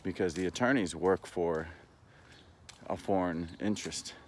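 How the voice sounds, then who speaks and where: Deep-voiced man rambling over background noise. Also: measured pace. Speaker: vlogger. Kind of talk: casual rambling monologue.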